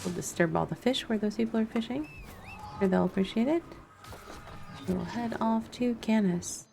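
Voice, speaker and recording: A woman's voice: on the high side, normal speed, vocal fry, over music. A woman streaming a video game, giving casual, playful commentary as she thinks aloud.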